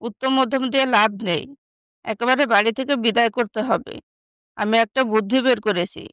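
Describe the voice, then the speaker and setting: A woman voicing a bossy, scheming young sister in an animated moral story, with a scornful, scolding manner. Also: a little high, brisk, no echo, lightly acted.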